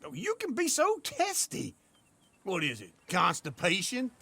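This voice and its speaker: A bright, creaky old sidekick in a western video game, whiny, lazy and cheeky. He rambles and stammers in a high, swooping voice over faint background noise. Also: wildly swooping pitch, unhurried, heavily acted. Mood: whiny, teasing. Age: older. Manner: rambling, stammering, theatrical, teasing. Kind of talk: comic video game cutscene acting.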